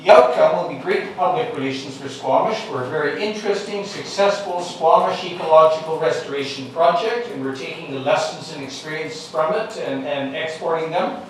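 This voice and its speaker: A man's voice: a little high, a little fast, few pauses, a little hiss or hum. A man councillor giving a plain, informative announcement at a meeting. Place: council chamber. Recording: echoey council chamber, far mic.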